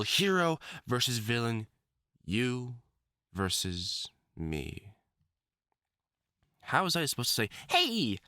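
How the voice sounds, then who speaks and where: A man's voice: mid-range voice, sharp tone, no room sound, studio quality. A man playing a theatrical male wolf-mutant supervillain in love, teasing and wounded, in a scripted audio roleplay spoken to the listener. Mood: regretful, pleading. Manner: theatrical, taunting, dramatic swoops and pauses.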